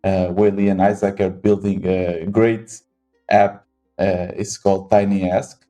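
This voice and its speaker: A man with a fairly low, mellow voice hosts a casual interview. He feels his way through sentences, hesitating and repeating words. Faint music sits under the recording. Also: flat delivery, measured pace. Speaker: podcast host interviewing startup founders.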